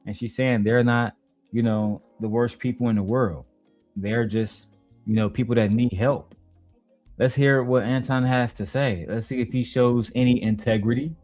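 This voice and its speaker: A man's voice: mid-range voice, fairly even intonation, moderate pace, darkish tone, no room sound, faint music behind. A man streaming, reacting to video clips with blunt, profane, mocking commentary.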